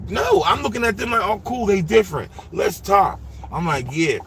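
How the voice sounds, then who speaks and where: A man's voice: high voice, normal speed, vocal fry. Man, 40s, rambling livestream talker in a car, street noise behind. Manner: rambling, animated and repetitive, restarts often. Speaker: livestreamer and driver. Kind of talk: casual monologue. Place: inside a parked vehicle.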